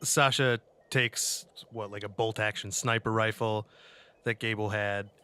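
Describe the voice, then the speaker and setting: A man chatting casually as a player in a tabletop game, improvising in a halting way. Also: on the low side, steady tone, sharp tone, clear, resonant tone.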